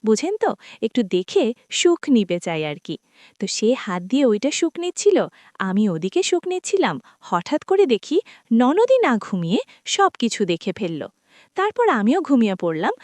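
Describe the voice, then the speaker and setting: A woman's voice: on the high side, big pitch swings, normal speed, darkish tone, no room sound. A woman narrating a first-person story in a confiding tone, talking to her listeners as friends.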